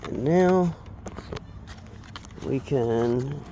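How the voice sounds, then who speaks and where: A man giving a casual, unscripted hands-on tutorial, speaking haltingly and trailing off as he works with his hands. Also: mid-range voice, dark tone, a little hiss or hum, rough recording. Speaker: DIY installation demonstrator.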